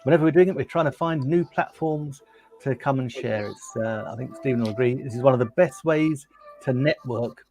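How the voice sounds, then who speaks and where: A man's voice: medium pitch, a little fast, darkish tone. A man, a digital marketer guesting on a live stream, upbeat and friendly.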